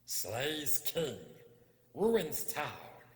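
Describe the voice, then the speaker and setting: A man's voice: on the high side, sing-song, pitched above the performer's own voice, full character voice. A man voicing a nasty, wretched, slimy cave creature who wheedles and gets easily upset, hissing and drawing out his words in a storybook reading. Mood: menacing, slow. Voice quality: hissing, creaky. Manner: drawn-out, repetitive, theatrical. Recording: echoey hall-like reverb.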